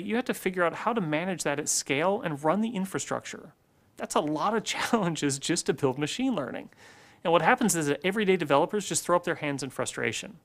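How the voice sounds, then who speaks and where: A man in his forties giving a scripted keynote presentation in a measured, deliberate way, recorded in a studio on a lapel mic. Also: a little high, a bit bright.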